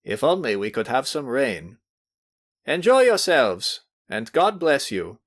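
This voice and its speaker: A man voicing a serene, kindly old gentleman giving his blessing. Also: medium pitch, very animated, takes it slowly, pitched above the performer's own voice, exaggerated voice. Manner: gentle and benevolent. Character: serene, pleased old man, elderly host.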